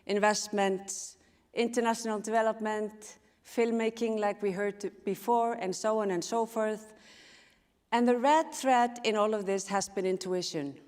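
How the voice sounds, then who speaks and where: A woman from Iceland whose first language is Icelandic, giving a reflective conference talk at a measured pace with pauses. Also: mid-range voice. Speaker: conference speaker. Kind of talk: live design talk. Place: large event hall. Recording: long reverb of a large hall.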